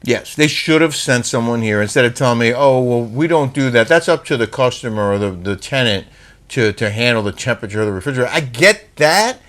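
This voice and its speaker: A man's voice: mid-range voice, animated, brisk, fairly bright tone. A man co-hosts a casual chat with a medium voice that rises and falls a great deal. He explains things in a plain, matter-of-fact way. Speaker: co-host.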